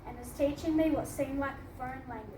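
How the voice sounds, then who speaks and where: A woman's voice: high voice, fast talker. A teenage girl from Australia, reading her personal essay aloud at a book launch, earnest and laughing now and then. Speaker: student reading her story. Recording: echoey hall from far off, noisy.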